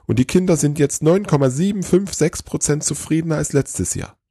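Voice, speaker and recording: A man voicing an eager, excitable chief Christmas elf in a storybook tale, breathless and gushing. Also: a little high, brisk, husky, no room sound, clean studio sound, voice pushed up. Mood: breathless excitement.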